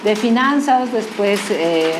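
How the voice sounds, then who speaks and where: A woman's voice: medium pitch, animated, slow pace, background noise. A woman from Mexico City with a local Mexican accent, a guest in a casual interview, telling her story in a chatty way. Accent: Mexican Spanish, Mexico City. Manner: chatty, storytelling, laughs easily.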